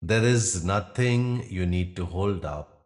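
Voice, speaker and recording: A man guiding a yoga nidra meditation in a soothing, instructional manner with pauses between phrases. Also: sits low, expressive, a little mellow. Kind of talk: guided meditation narration.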